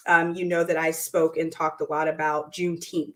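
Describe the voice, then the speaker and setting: Woman, hoarse, raspy, fairly low voice, upbeat host, many ums and restarts, indoor room. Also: flat delivery, moderate pace, fairly bright tone. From United States. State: hoarse from lost voice. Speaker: host of a weekly show. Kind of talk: casual talk to camera.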